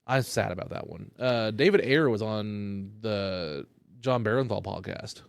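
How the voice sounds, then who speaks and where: Rambling, cursing comedian, dry close sound. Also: male voice, on the low side, measured pace, gravelly, clean tone, dry recording. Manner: rambling, loose, curses often. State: high. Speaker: stand-up comedian. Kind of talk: casual podcast chat.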